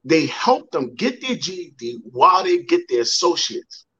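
A man's voice: high-pitched, very animated, moderate pace, pushed and bright, dull audio. A young man, a motivational speaker, telling a personal story in a podcast interview. He repeats words and acts out the people he quotes.

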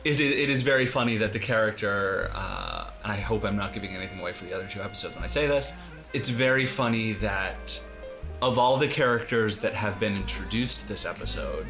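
A man giving casual commentary, speaking in an amused, halting way. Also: on the low side, big pitch swings. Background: music.

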